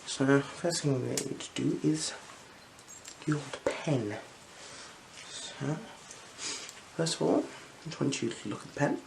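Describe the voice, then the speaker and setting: A person with a slight cold role-playing an eye examiner in a gentle, unhurried ASMR style, half whispering and murmuring little hums between short phrases, close to the microphone. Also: mid-range voice, vocal fry, noisy background. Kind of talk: ASMR roleplay, soft-spoken and whispered.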